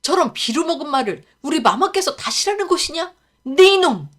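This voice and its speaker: A woman's voice: sits high, sing-song, moderate pace, fairly bright tone, voice pushed up. A woman voicing a hot-tempered male bodyguard who barks harshly in a storybook reading. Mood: angry. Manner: harsh, barking.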